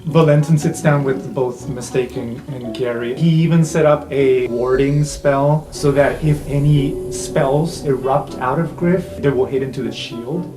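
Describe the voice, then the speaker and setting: A man's voice: mid-range voice, steady tone, measured pace, hardly any pauses. A man narrating an improvised tabletop roleplay game as its game master. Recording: roomy sound with music behind.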